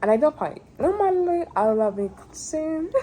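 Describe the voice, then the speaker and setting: A woman with a Nigerian accent rambling casually in a personal vlog, excitable and playful, repeating words for emphasis, over a noisy recording. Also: a little high, fairly quick. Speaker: solo animator and showrunner.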